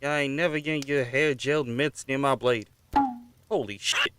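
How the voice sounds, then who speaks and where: A man's voice: mid-range voice, fairly even intonation, moderate pace, heavy background noise, close to an everyday voice. A man playing a brash, slangy young swordsman in a fan audio drama. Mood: indignant.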